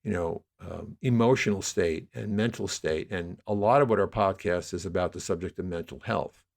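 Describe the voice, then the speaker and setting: Guy with a lowish voice, podcast guest explaining stuff, wordy, keeps rewording mid sentence. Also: normal speed, creaky. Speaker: podcast guest, audio drama producer. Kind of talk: conversational interview, explaining his work.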